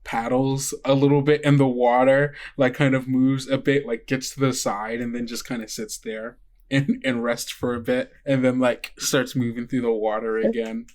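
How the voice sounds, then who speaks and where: A game master with a mid-pitched, androgynous voice improvises scene description for a tabletop roleplaying game. The delivery is casual and conversational, feeling its way haltingly through each detail. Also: little pitch movement, darkish tone.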